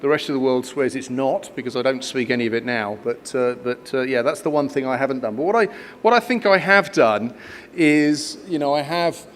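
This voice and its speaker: A man's voice: medium pitch, a little fast, slight background noise. A man in his fifties, raised in Kenya, giving a conference speech with many fillers and restarts. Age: 50s. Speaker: guest speaker at an education conference.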